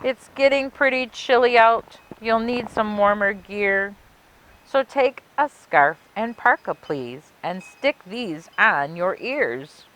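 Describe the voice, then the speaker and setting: A woman's voice: medium pitch, animated, takes it slowly, a bit bright, a little hiss or hum, low-quality recording. A woman voicing a fussy, bossy, overprotective parent in a children's storybook, interrupting and nagging insistently.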